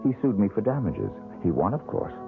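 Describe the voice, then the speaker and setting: An actor playing a proud, bitter, down-and-out young painter in a 1970s radio drama, speaking curtly. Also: male voice, lowish voice, very animated, fairly quick, creaky, faint music behind. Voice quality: bitter, curt. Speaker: struggling painter. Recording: tinny lo-fi old radio broadcast.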